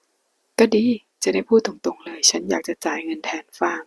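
A woman's voice: lowish voice, moderate pace, gravelly, breathy edge, dry recording, exaggerated voice. A woman whispering a smug, taunting young man. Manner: taunting and sly, hushed.

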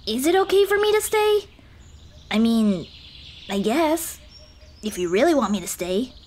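A woman voicing a small, shy, kind-hearted goblin girl in an ASMR roleplay, speaking hesitantly and gently to comfort the listener. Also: a little high, big pitch swings, a little hiss or hum. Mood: gentle, timid. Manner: hesitant, timid, soft-hearted, halting. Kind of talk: ASMR roleplay, intimate one-sided dialogue. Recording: birdsong and outdoor ambience behind voice. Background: birdsong, nature ambience.

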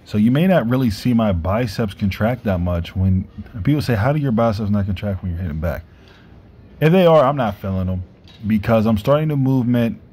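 A man's voice: fairly low pitch, warm tone, slightly rough. A man in his thirties vlogging about his workout, with loose, self-correcting explanations, filmed on a phone camera. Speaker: fitness vlogger. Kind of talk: casual gym vlog talk. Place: gym indoors, then outdoors by trees. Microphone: phone camera propped up, then held at arm's length. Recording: faint background noise.

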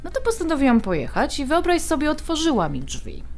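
A woman's voice: sits high, huge pitch swings, a little fast, faint music behind, heavily acted. A woman playing an outspoken adult daughter in a naturalistic audio drama, bantering with her mother in a conversational, emphatic way. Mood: recounting, wounded.

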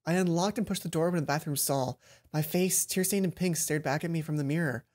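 A man's voice: fairly high pitch, fast, a little mellow. A young man hosting a let's play, reading visual novel narration aloud and adding casual commentary. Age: young adult. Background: faint game music.

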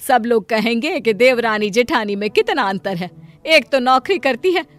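A woman's voice: on the high side, expressive, normal speed, lightly acted. A woman voicing an older, practical mother-in-law in a cartoon family story, chiding in a matronly way. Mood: nagging. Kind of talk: animated Hindi family story dialogue.

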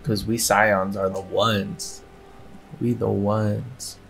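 A man's voice: fairly low pitch, flat delivery, drawn-out words, creaky, fairly bright tone, faint music behind. A middle-aged man giving casual, joking reaction commentary as a streamer, in short muttered asides over a game. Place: indoor home room. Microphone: desk streaming mic.

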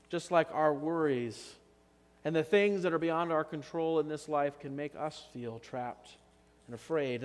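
A man in his thirties delivers a sermon in an earnest, unhurried way, leaning on pauses for emphasis. His voice sits a little high for a man. The church sanctuary around him lets every phrase ring out with a long reverb. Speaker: pastor. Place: church sanctuary, standing at the altar.

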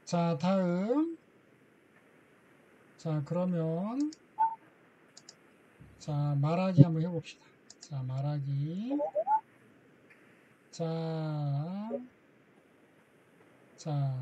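A man teaching Korean in an online lesson, slowly prompting and correcting a student who repeats after him. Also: a little high, lively intonation, mellow, husky, rough recording. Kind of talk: online language lesson drills.

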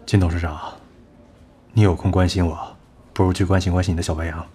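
An actor playing a blunt, proud film producer in a radio drama, curt and sardonic. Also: male voice, low-pitched, level tone, a little fast, dark tone, a little hiss or hum, lightly acted. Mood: irritated, teasing. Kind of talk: Chinese radio drama acting.

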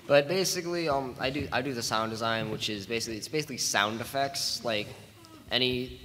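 A man's voice: medium pitch, brisk, pushed and bright. A man talking casually as a sound designer on a panel in a large hall. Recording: echoey hall, faint room noise.

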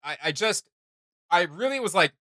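A young man chatting casually and excitedly as a fan hosting a reaction video. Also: high-pitched, normal speed, no echo, studio quality. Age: young adult. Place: small indoor room with gaming desks.

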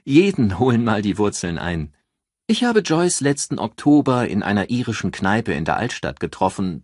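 A man voicing an amused, chatty countess who tells anecdotes in a lively, teasing way in an audiobook. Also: sits low, slightly rough, no room sound, clean studio sound, full character voice. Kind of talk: audiobook character dialogue.

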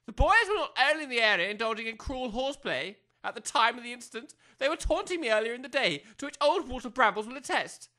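A man's voice: very high voice, sing-song, quick pace, hardly any pauses, pushed and bright, exaggerated voice. A man playing a pompous, easily outraged major in an audio comedy drama, with florid, formal speech. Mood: pompous, accusing.

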